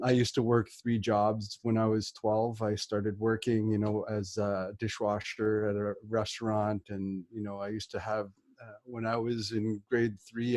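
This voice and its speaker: A middle-aged man with a Canadian accent talks informally as a guest on a real estate show. His voice is lowish, dark and relaxed, with very little pitch movement. He rambles a little and feels his way through sentences, in a dry, close sound. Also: no echo. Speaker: interview guest, real estate investor and coach. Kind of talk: informal spoken self-introduction in an interview.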